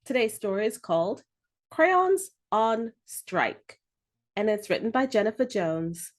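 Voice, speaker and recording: A woman reading a children's story aloud with expressive, deliberate phrasing. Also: on the high side.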